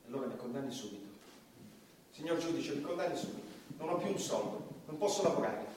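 A man's voice: a little high, animated, fast talker, a little mellow, close to an everyday voice. Man acting a distant, echoey, defiant defendant. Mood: desperate pleading. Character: defiant, desperate male comedian on trial. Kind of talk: theatrical stage acting. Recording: very echoey, distant, clearly noisy stage recording.

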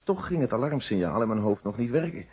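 An actor playing a steady, reflective police inspector recounting his case in a Dutch radio drama, with a Netherlands accent and measured, polite delivery. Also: mid-range voice, breathy edge, muffled recording. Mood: reflective, explanatory. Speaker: actor in a detective radio play.

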